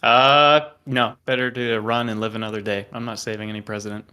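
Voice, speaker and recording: Male guest, bright, tense tone, wisecracking casual chat. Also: mid-range voice, level tone, brisk, clear, resonant tone. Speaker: podcast guest.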